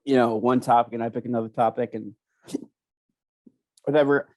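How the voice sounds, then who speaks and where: A man's voice: medium pitch, steady tone, a little mellow, no room sound. Rambling young man, sniffly with a cold. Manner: rambling, halting, many restarts and fillers. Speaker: podcast co-host. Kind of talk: casual chat.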